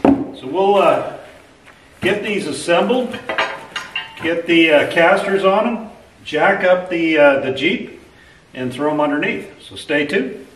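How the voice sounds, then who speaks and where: A man's voice: fairly high pitch, slow pace, long pauses, slight background noise, rough recording. Folksy middle-aged man in a barn. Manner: relaxed, folksy, frequent "uh" fillers. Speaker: channel host reviewing a product. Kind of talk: casual how-to vlog narration. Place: large pole barn workshop, indoors.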